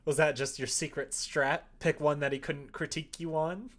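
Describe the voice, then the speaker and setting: A man chatting casually on a livestream as he codes, rambling in an animated, opinionated way. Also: fairly high pitch. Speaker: live-streaming programmer. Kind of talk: casual livestream chat while coding.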